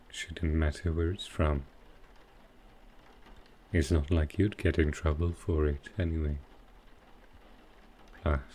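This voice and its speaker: A man playing a sly, dryly amused male supervillain in a soft roleplay ASMR style, with halting, understated delivery. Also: a bass voice, slight background noise. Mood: coaxing, sly. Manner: unhurried, halting delivery with pauses, dry understated humour.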